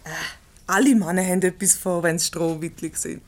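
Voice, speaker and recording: A woman's voice: lowish voice, brisk, darkish tone, close to an everyday voice. A woman playing an unflappable, witty married lady in a Swiss German radio comedy, with a breezy, teasing, ironic manner. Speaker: radio play actor.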